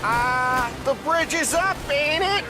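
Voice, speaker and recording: Very high-pitched, excitable American gangster. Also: male voice, takes it slowly, music in the background, exaggerated voice. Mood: panicky. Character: excitable, foul-mouthed wounded male gangster sidekick. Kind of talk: cinematic game voice acting.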